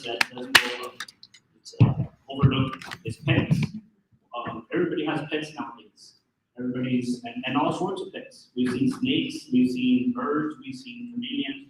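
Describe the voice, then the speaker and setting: A man with a loud voice giving a fire safety briefing to a room of business people, hesitant with many ums and restarts. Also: medium pitch, background noise. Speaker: fire marshal office representative. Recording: echoey room, far from the mic.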